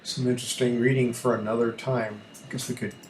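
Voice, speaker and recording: A man thinking aloud through a casual screen tutorial as a hobby radio host, halting and muttering to himself as he works. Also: medium pitch, level tone. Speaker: amateur radio YouTuber, host. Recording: echoey room with faint background noise.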